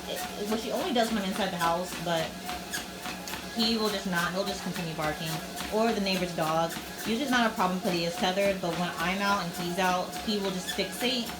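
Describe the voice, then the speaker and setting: A woman chatting casually in a vlog while training her dog, rambling and coaxing him along in a high sing-song voice. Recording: roomy echo with loud music behind.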